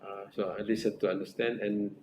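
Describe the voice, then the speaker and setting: A man's voice: fairly low pitch, level tone, a little fast, darkish tone. A middle-aged man with a Malaysian accent advising a research student as supervisor, earnest and repetitive, restarting phrases and asking "right?" often. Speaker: academic supervisor. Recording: echoey room with faint noise.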